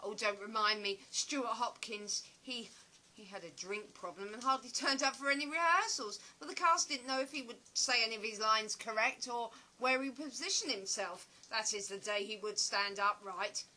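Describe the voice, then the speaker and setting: A woman playing a chatty, opinionated, nostalgic stage actress in an audio play, with a dramatic, expressive storytelling manner. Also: on the high side, normal speed, rough recording. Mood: chatty, theatrical.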